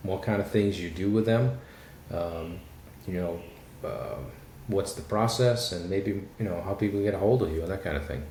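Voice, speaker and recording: A male podcast host with a low voice chats casually and warmly with his guest, often calling him "man". His voice rings in a roomy space over a faint background hiss. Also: normal speed, hardly any pauses. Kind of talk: podcast interview.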